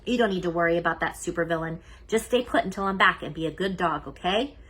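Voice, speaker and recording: A woman's voice: fairly low pitch, fast talker, fairly bright tone. A woman reading a storybook as a spirited, playful young superhero girl with an eager, exclamatory way of talking. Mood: reassuring.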